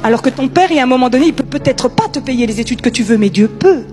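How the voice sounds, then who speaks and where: A woman's voice: high-pitched, expressive, quick pace, a little mellow, faint music behind. A woman preacher giving an informal sermon in a conversational, emphatic way, repeating key phrases.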